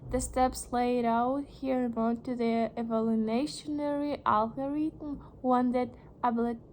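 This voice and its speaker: Slow-reading young woman, Russian native. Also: on the high side, steady tone, darkish tone, a little hiss or hum, low-quality recording. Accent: Russian, reading English with a slight American tinge. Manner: slow, halting reading with restarts and puzzled asides. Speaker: vlogger. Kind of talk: reading aloud and chatting.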